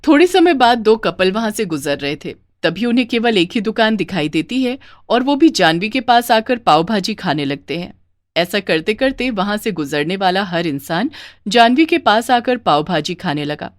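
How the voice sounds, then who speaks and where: A woman's voice: medium pitch, lively intonation, moderate pace, dry recording. Woman narrator, dry close storytelling voice.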